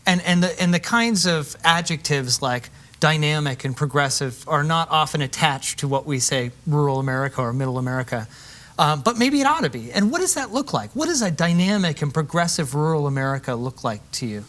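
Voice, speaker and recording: Slightly high-voiced male interviewer. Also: animated, a little fast, a bit bright. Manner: probing, searching for phrasing. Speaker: talk show host. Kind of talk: political interview questions.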